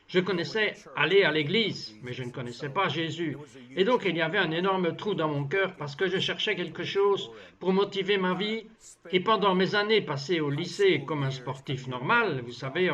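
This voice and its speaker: Man, high voice, voice-over narration, earnest, measured, dull lo-fi sound. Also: a bit bright. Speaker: voice-over translator. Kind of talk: dubbed reading of a personal testimony.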